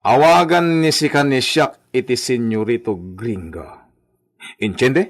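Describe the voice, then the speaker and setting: Radio drama young male master: haughty, commanding, Filipino accent, Spanish affectations, very animated pitch. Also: a little slow. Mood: bossy. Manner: pompous, commanding, peppered with Spanish words.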